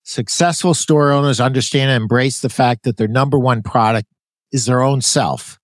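A man's voice: medium pitch, normal speed, no echo. A Canadian man in his sixties hosting an interview podcast, reading from his own book with loose, folksy asides and self-corrections. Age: 60s. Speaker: podcast host.

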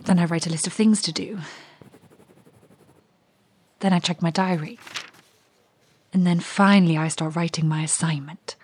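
An actress playing a restless, curious young woman in an audio drama monologue, confiding and wry, with a hushed, husky voice. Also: fairly low pitch, fairly even intonation, normal speed, long pauses, slight background noise, lightly acted.

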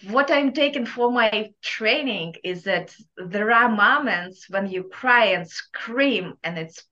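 A woman with a thick Russian accent speaks slowly and deliberately, her words measured and earnest. She gives motivational advice as an interview guest. The audio is slightly muffled and lo-fi. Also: medium pitch, pushed and bright. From Kazakhstan. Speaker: guest entrepreneur.

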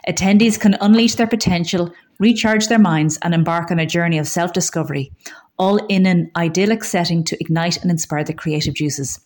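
A woman's voice: on the low side, fairly even intonation, a little fast, darkish tone. A woman with an Irish accent reading scripted local news as a community radio newsreader.

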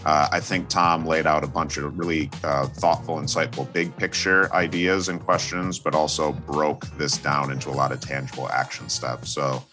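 A man's voice: a bass voice, level tone, moderate pace, hardly any pauses, music in the background. A man co-hosting a podcast, friendly and enthusiastic in casual chat. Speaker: podcast co-host.

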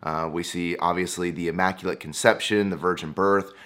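A young man hosting his own channel, talking through a topic in a relaxed, conversational way with a hoarse, froggy voice and plenty of "uh"s. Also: sits low, a little fast. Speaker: YouTube and podcast host. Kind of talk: casual explainer monologue.

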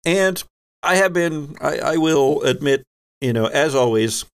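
A man hosting a tabletop role-playing game, explaining the rules in a halting, self-correcting way. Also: a little high, animated, smooth. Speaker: host and game master.

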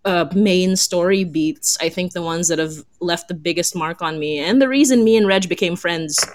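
A young woman with a slightly low voice talks casually among fellow podcasters. She is giggly and eager, gushing as she goes. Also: normal speed. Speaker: fiction podcast creator and guest. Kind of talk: casual group chat.

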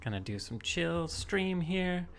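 A man talks in a soft, unhurried way on a chill music stream, drifting through fillers and half-finished thoughts. His voice carries a heavy gravelly fry, and a faint hiss sits under the recording. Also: very animated. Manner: soft, low-key, rambling with many fillers. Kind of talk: relaxed live-stream chat while making music.

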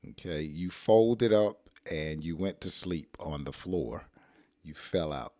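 A middle-aged American man with a hoarse, rough throat, teaching an English lesson in a casual, playful way and acting out example sentences. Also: sits low, lively intonation, slow, mellow, no echo, studio quality.

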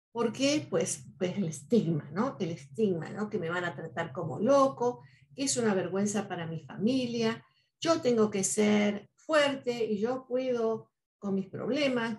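A woman's voice: medium pitch, lively intonation, unhurried, darkish tone. Earnest Spanish-speaking woman, reflective talk. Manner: earnest, speaks with many "¿no?" tags and "eh" fillers.

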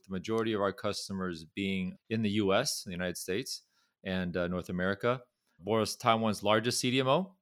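Middle-aged Californian man, very low voice, dry close sound, repeats words as he thinks. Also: fairly bright tone, smooth, no room sound. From Southern California. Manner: repeats words while gathering thoughts. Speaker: pharmaceutical company chairman, guest. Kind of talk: conversational interview.

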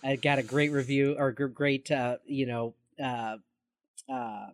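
A forty-year-old man chatting casually as a podcast co-host, often pausing and repeating words as he goes. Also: medium pitch, level tone, no echo. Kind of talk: casual chat.

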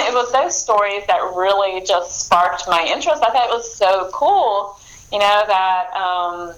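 A woman talks about her writing life in a relaxed interview. Her speech is full of ums, restarts and easy laughter, and her pitch sits mid-range. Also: measured pace, rough recording. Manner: chatty, laughs often, many fillers and restarts. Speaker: author guest. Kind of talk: casual interview answers.

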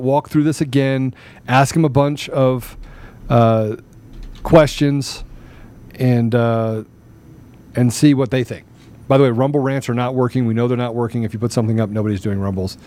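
A man's voice: medium pitch, fairly even intonation, moderate pace, mellow. Man hosting a live talk show. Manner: repeats phrases for emphasis. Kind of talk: casual live talk, sometimes reading questions aloud.

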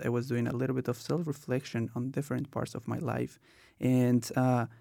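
A man's voice: mid-range voice, vocal fry, dark tone, no echo, studio quality. A man in his twenties from Colombia, hosting a student podcast and telling his own story with many "uh" and "um" fillers. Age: 20s. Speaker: podcast host. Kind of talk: casual podcast talk.

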